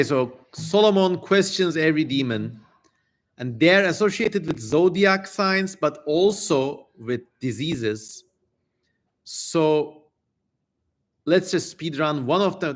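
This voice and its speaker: A man hosting a livestream dramatic reading, switching between theatrical recital and jokey, informal asides. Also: fairly high pitch. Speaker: streamer, host.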